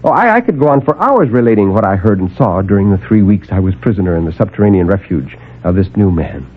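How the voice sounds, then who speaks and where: An actor playing a desperate escaped captive who pleads urgently and haltingly to be believed, in 1950s radio drama style. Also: male voice, on the low side, very animated. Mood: urgent, fearful. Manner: urgent, emphatic, halting delivery. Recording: narrowband old AM radio recording.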